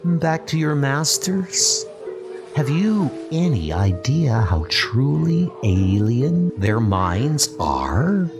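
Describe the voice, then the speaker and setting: A man voicing a scheming, seductive sorceress in a comic book dub, speaking in a drawn-out, persuasive way. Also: mid-range voice, sing-song, voice pushed up, heavily acted. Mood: manipulative. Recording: music bed under the voice.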